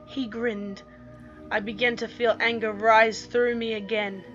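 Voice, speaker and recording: Female story narrator, higher-pitched, dramatic and unhurried, dull lo-fi recording over music. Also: little pitch movement, a bit bright. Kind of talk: scripted first-person story narration. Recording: dull lo-fi microphone sound.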